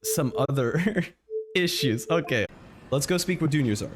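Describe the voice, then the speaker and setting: Animated, higher-pitched male game streamer. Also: noisy background. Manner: casual, halting, repeats himself. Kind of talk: live game stream commentary. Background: music.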